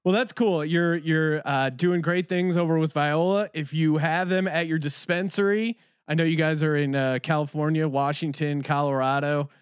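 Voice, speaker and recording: A man's voice: on the high side, steady tone, normal speed, hardly any pauses, smooth, no echo. A man hosting a casual podcast chat, upbeat and laughing easily. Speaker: podcast host.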